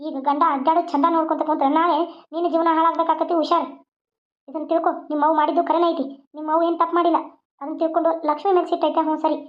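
Bold old village grandmother with a North Karnataka accent, put on by a woman, lecturing and scolding in a rustic way, very high, quick voice. She brags and nags without pause. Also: highs cut off.

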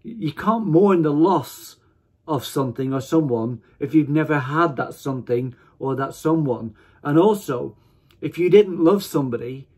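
A man in his fifties giving an informal sermon to camera, speaking emphatically in deliberate, broken phrases. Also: on the high side, warm tone, husky. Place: small attic room at home, indoors.

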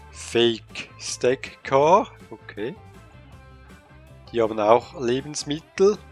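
Slow-talking man with a cold. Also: medium pitch. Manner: slow, hesitant, thinking aloud with fillers. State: has a cold. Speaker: let's play gamer. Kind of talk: casual gameplay commentary.

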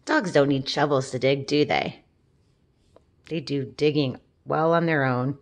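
A woman reading a children's picture book aloud, gently, with asides and questions to young listeners. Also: extremely low pitch, unhurried. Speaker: storybook reader.

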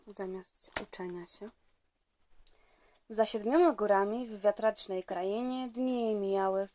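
A woman's voice: mid-range voice, darkish tone. Woman reading a fairy tale aloud. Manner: storybook reading voice that slips into plain chatty asides. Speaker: craft maker presenting her handmade album. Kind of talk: reading a rhymed fairy tale aloud, then casual show-and-tell.

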